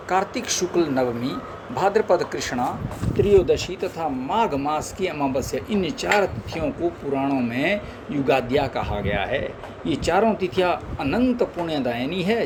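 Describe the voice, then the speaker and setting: Guy reading scripture out loud, slightly high voice, traffic noise in the background, trips on words sometimes. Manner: measured recitation with stumbles and chatty asides. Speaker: scripture reader. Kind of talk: reading a holy text aloud. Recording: street noise behind the voice.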